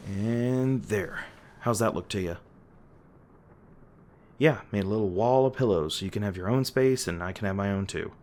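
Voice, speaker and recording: Guy doing a bashful protective hunter dude, real low voice, lots of uh's, kinda shy. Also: normal speed, pauses often, a little mellow, close to an everyday voice. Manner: hesitant, bashful, stumbling over words. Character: awkward protective young male monster hunter. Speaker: roleplay voice actor. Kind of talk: audio roleplay addressing the listener.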